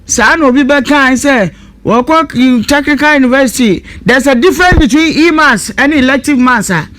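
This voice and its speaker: Female talk radio host, Ghanaian accent, high voice, probing and direct. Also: moderate pace, a bit bright. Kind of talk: live radio talk show questioning and commentary.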